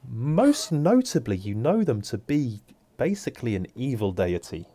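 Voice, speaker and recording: A man's voice: medium pitch, very animated, moderate pace, warm tone, dry recording. A man narrating a tabletop game as game master, casual and hesitant with many fillers.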